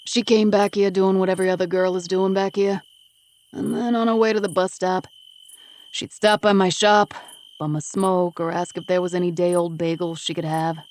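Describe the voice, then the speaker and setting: A woman voicing a gruff, defensive East Coast man in his thirties who swears bluntly, in an audiobook. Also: fairly low pitch, fairly even intonation, normal speed, a little mellow, natural voice.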